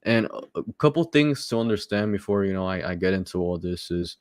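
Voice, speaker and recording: A man with a low voice hosts a casual live stream. He has a minor stutter and often repeats words and pauses on fillers. The sound is dry and close, with no room echo. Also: lively intonation. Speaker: stream host. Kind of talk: casual livestream commentary.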